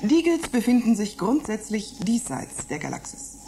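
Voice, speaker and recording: Lively, sarcastic, rebellious older woman. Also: on the high side, normal speed, background noise. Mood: scornful reciting. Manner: mocking, grumbling, reciting with scorn. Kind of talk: radio play acting.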